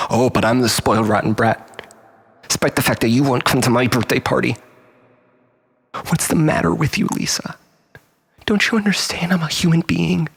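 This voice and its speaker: Man acting a gravelly, desperate, self-pitying ex-lover. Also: fairly high pitch, a bit bright, long reverb tail, exaggerated voice. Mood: bitter, accusing. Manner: halting, stammering, repeating himself, swinging from pleading to bitter. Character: desperate, manipulative, self-pitying man pleading with his lost love. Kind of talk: dramatic monologue.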